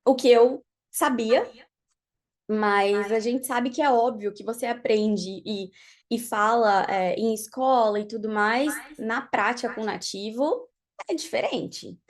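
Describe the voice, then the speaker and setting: A young woman from Brazil, a podcast guest, chatting casually and telling her story in an animated way, laughing often. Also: a little high, slow pace. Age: young adult. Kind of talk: casual interview chat. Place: podcast studio.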